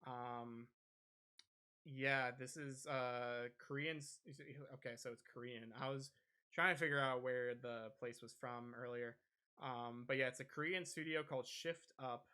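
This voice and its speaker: A man's voice: mid-range voice, bright, forceful tone, clear, resonant tone. A man hosting a podcast, talking casually and a little hesitantly through gaming news. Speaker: podcast host.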